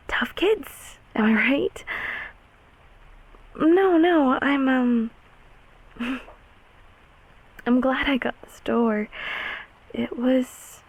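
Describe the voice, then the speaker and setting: A gentle monster from the closet, acted by a woman, affectionate and comforting in an intimate audio drama. The voice is fairly high yet whispery, with a crackle of fry. The delivery is hesitant and soft, meant to reassure. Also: narrow, tinny audio, exaggerated voice. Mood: flustered, chatty. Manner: slow, hesitant, tenderly reassuring.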